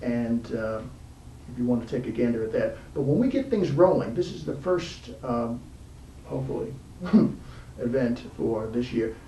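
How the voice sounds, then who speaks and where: A man hosting an informal interview show, speaking haltingly with frequent pauses mid-sentence. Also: mid-range voice, sing-song, warm tone. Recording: roomy, distant mic, faint background noise.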